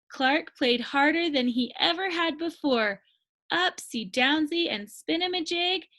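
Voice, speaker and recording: A woman reads a children's story in a high, bright voice. Her delivery is very slow and expressive, with deliberate emphasis on key words. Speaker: storybook reader.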